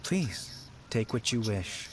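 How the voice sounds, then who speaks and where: A man voicing a mysterious, poetic shrine keeper in a video game, with measured, wistful and ornate delivery. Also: on the low side, very animated, noisy background. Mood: calm, resigned.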